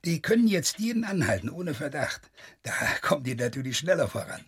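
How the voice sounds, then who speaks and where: A stubborn old farmer dad, super raspy, high-ish voice that swings around, radio play. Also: male voice, sing-song, brisk, full character voice. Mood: grumbling, defensive. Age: older. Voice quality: gravelly, husky. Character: grumbling, stubborn old farmer father, nostalgic for harsher times. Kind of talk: German radio drama acting.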